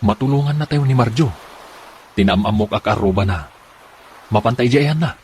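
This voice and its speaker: A man with a very husky, rough voice playing an easygoing, colloquial driver in a radio drama. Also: medium pitch, normal speed, darkish tone, slight background noise, natural voice. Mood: cooperative. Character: easygoing male driver helping friends with a scheme.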